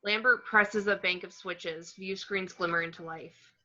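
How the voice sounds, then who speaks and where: A woman's voice: medium pitch, fairly even intonation, unhurried, pushed and bright. A woman reading out screenplay scene descriptions as the narrator of a script table read. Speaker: reader of the action description. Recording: dull, lo-fi sound.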